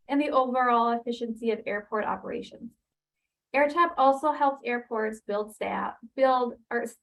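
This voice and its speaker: A woman opening a webinar, explaining the program and the call settings in a brisk way with ums and restarts. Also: on the high side, rough recording. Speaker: webinar host for a training program. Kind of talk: webinar introduction. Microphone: computer mic on a video call.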